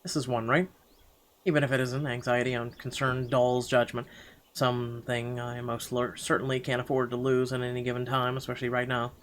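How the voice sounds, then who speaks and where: A man reading a visual novel aloud as first-person dramatic narration, halting now and then over the words. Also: mid-range voice, level tone. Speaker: game player reading the text aloud.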